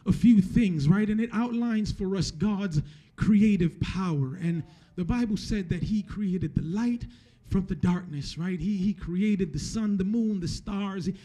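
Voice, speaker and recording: A man's voice: sits high, measured pace, warm tone. A man in his thirties from Jamaica preaching a conversational sermon into a handheld mic, repeating phrases for emphasis. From Jamaica, moved to the US at eleven. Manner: repeats and restarts phrases for emphasis, often tags points with "right?". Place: church stage, indoors. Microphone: handheld microphone held close.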